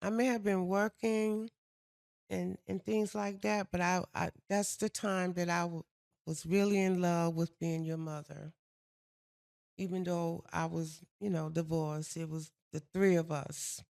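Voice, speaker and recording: An older woman from the States, chatting with her daughter in a soft, gentle, unhurried way. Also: on the low side, fairly even intonation, gravelly, no echo, clean studio sound. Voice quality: soft-spoken. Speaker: mother and co-host. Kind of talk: casual chat.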